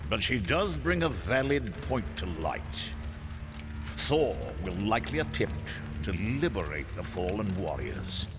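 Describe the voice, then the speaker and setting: An actor playing a cold, imperious supervillain mastermind in a video game, formal, commanding and ominous. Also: male voice, fairly low pitch, very animated, measured pace. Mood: calculating. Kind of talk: video game villain acting. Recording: clearly noisy background.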